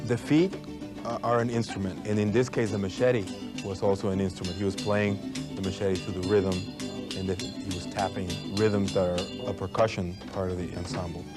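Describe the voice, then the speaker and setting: A man's voice: low-pitched, level tone, brisk, loud music behind the voice. A man from Mexico, a folk musician, explaining his tradition thoughtfully in a documentary interview. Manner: explanatory, thoughtful, some restarts.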